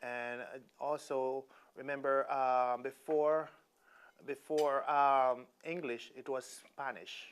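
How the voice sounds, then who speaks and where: A Jamaican man with a smooth, resonant voice at a middling pitch, talking as a guest chef in a studio kitchen. He explains slowly and haltingly, often pausing with ums. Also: level tone. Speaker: chef, cooking show guest. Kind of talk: casual explaining on a cooking show.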